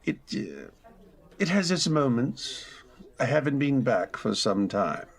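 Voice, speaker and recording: A wealthy, melancholy gentleman, acted by a man speaks formally in an old-time radio play. His voice is husky and creaky, moving freely up and down within a polite reserve. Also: medium pitch, big pitch swings, takes it slowly, heavily acted. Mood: uneasy.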